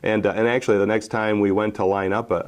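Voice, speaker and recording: Man, forties, deep low voice, loose chatty recollection, lots of "you know". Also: fast talker. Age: 40s. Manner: relaxed, many fillers and restarts, animated when telling game stories. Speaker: former football player, interview guest. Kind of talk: casual storytelling interview.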